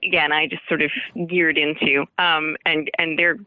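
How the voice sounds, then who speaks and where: A woman with a very bright, forward voice talks like an author being interviewed. She is earnest and articulate, stressing key words, and the sound is close and dry. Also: sits low, level tone, moderate pace, no echo, low-quality recording. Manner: earnest, articulate, emphatic, frequent light chuckles. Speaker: guest author. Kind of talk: interview about writing historical fiction.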